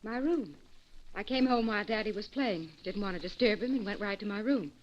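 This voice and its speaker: An actress playing a headstrong, self-possessed young heiress in an old radio drama, speaking with brisk assurance. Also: female voice, medium pitch, close to an everyday voice. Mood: headstrong, insistent. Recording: muffled vintage radio recording with hiss.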